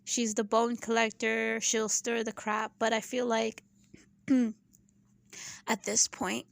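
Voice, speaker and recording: A woman giving her casual opinions in a vlog, chatty and full of "right?" asides, clearing her throat and losing her voice. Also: on the high side, little pitch movement, takes it slowly, no room sound, studio quality. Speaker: YouTube commentator.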